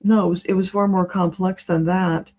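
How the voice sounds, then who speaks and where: A woman's voice: lowish voice, level tone, moderate pace, mellow. A woman giving a conversational spiritual reading as a guest, explaining things in a matter-of-fact way. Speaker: spiritual reader, interview guest.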